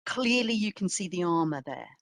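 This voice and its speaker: A woman's voice: lowish voice, big pitch swings, moderate pace, dry recording, studio quality. A woman giving a public history talk as a curator, reflective and emphatic, often posing rhetorical questions. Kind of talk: public history lecture, semi-scripted.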